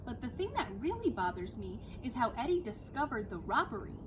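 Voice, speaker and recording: A woman voicing a thoughtful, sharp-minded schoolgirl detective in a storybook, earnestly reasoning things through. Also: fairly high pitch, big pitch swings, a little fast. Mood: doubtful. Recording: clear background noise outdoors.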